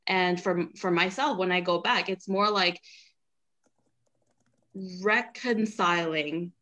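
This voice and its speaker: A woman's voice: fairly low pitch, slow pace. A young woman from China whose first language is Mandarin, telling stories casually and with animation on a video call panel. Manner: chatty, laughs often, animated.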